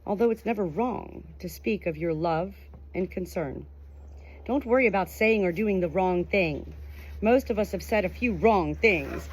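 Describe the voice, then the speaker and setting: A woman's voice: mid-range voice, a little hiss or hum. A woman reading a nonfiction book aloud as scripted audiobook narration. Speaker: audiobook reader.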